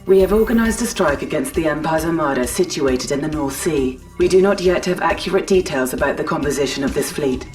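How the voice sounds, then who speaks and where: Low-voiced, detached military announcer system. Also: female voice, expressive, a little mellow. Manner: measured, detached, official. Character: cool, impersonal female military announcer system. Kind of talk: scripted game announcements. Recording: slightly distant, music behind.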